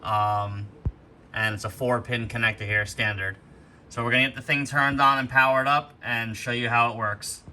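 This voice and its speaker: Bright, forceful-voiced man demonstrating gear. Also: medium pitch, fairly even intonation, moderate pace, slight background noise. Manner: explanatory, step-by-step instruction. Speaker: host of a gear demonstration video. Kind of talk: unscripted product walkthrough.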